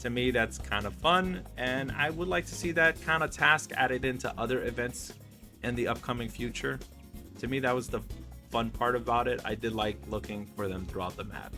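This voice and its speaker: A man's voice: mid-range voice, normal speed, pushed and bright, soft background music. A man giving casual, candid game review commentary, conversational and opinionated as he goes. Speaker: video game YouTuber reviewing skins.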